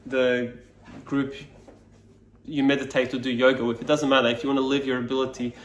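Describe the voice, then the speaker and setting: A Serbian-native man talks to camera as a speaking coach, musing aloud with lots of fillers and restarts. His pitch stays fairly level throughout. The room gives his voice a clear echo. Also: little pitch movement, a little fast, slight background noise. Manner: reflective, loose, many fillers and restarts. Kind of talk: casual explainer to camera.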